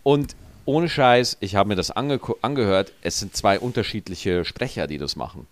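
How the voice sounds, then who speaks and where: A man with a regional German dialect and a rolled R, telling stories in a relaxed, joking podcast chat. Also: on the low side, moderate pace, dry recording. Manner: lively storyteller, joking. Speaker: podcast co-host. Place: studio, feet up on desk.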